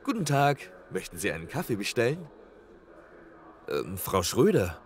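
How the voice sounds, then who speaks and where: A man's voice: mid-range voice, big pitch swings, normal speed. A man playing a polite, helpful café barista in an audio drama, speaking hesitantly and courteously. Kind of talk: audio roleplay acting.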